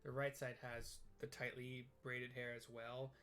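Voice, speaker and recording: A game streamer casually describing what is on screen, hesitant and searching for words in halting fragments. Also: medium pitch, flat delivery, a bit bright, faint music behind. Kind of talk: casual, improvised visual description of a game for listeners.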